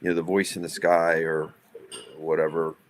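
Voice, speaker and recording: A man talking casually in a business meeting about plans, hesitant and halting, often repeating the starts of words. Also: very deep voice, flat delivery, a little hiss or hum. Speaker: live event producer planning a show.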